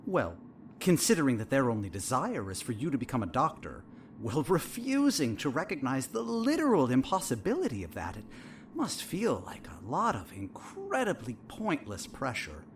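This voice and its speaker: A man playing a sinister, smug therapist in a horror audio drama, measured and theatrical, with a falsely soothing, condescending manner. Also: mid-range voice, wildly swooping pitch, a little hiss or hum. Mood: probing, needling.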